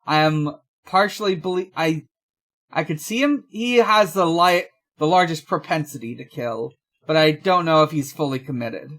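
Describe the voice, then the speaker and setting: A man's voice: a little high, moderate pace, fairly bright tone. A man co-hosting a podcast in casual chat, matter-of-fact and hesitant as he thinks things through aloud. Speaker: podcast co-host.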